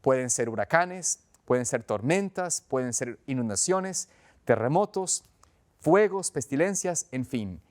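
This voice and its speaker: A man's voice: mid-range voice, animated, measured pace. A man with an Ecuadorian accent preaching as a pastor in a conversational, earnest, explanatory way, often rephrasing as he goes. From Quito, Ecuador. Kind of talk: conversational sermon.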